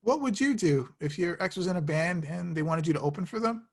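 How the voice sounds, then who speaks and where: Woman as a flustered, very animated slacker guy. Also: fairly low pitch, a little fast. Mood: uneasy. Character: flustered, awkward young slacker guy in a band. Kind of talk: screenplay table read.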